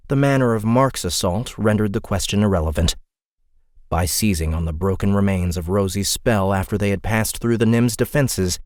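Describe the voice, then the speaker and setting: A man's voice: lowish voice, a little mellow, no echo, clean studio sound. A man reading dramatic scripted fantasy narration as an audiobook narrator.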